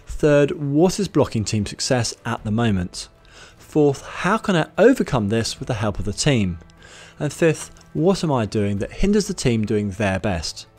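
British male trainer with a lively delivery. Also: medium pitch, faint music behind. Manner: measured, instructive, lists points in order. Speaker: management trainer. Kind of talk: scripted presenter talk to camera. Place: studio with plain grey backdrop. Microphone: studio mic on boom arm in front.

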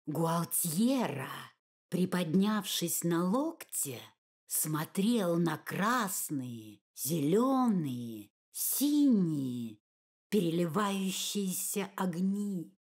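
A woman reading a fairy-tale audiobook as narrator, in an expressive, measured storytelling manner. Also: fairly low pitch.

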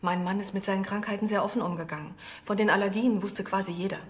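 A woman's voice: mid-range voice, fairly even intonation, fast talker, natural voice. A woman playing a strained, unwell widow being questioned by police in a TV crime drama. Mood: defensive. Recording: music behind the voice.

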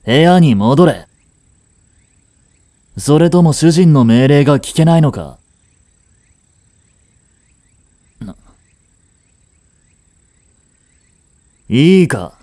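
Man acting a haughty young prince, dark voice, swinging pitch. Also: animated, measured pace, dry recording, clean studio sound. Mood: cold, commanding. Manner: imperious, curt, scolding. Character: cold, haughty young prince, commanding and secretly protective. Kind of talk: Japanese romantic audio drama acting.

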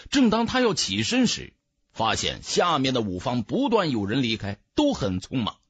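Husky male storyteller, very animated. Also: medium pitch, moderate pace, no room sound. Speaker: audiobook narrator. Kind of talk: dramatic scripted narration.

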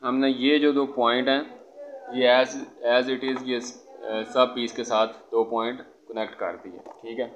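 A man giving an informal hands-on tutorial as an electrician, explaining step by step and often checking with a quick okay. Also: mid-range voice, little pitch movement, soft background music. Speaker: electrician presenter. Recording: dull lo-fi phone audio, background noise.

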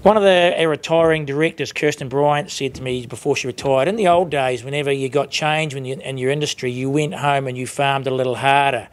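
A man in his fifties with a New Zealand accent gives an informal, conversational and rambling closing speech at a podium as an industry leader. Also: medium pitch. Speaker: industry leader giving closing conference address. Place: conference room with seated audience, indoors. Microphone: lectern microphones.

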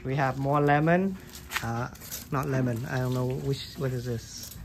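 A man's voice: medium pitch, unhurried, vocal fry, noisy background. A man casually showing how to hand-pollinate plants in a basement grow room, talking loosely with lots of "like" and "um" while filming with a handheld phone. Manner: loose, chatty, fills with "like" and "um". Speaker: gardening vlogger. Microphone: handheld phone camera, filming his hands.

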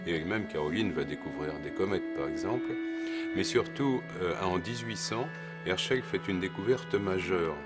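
A man's voice: low-pitched, moderate pace, over loud music. A man speaking as an astrophysicist, giving an unscripted educational explanation in a didactic way, searching for his words as he goes.

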